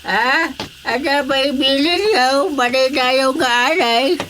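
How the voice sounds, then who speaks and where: Muddled, cackling old-fashioned servant for radio farce: high-pitched, extremely drawn-out delivery, giggly and forgetful. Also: female voice, fairly bright tone, a little hiss or hum. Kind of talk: Ilocano comedy radio drama acting.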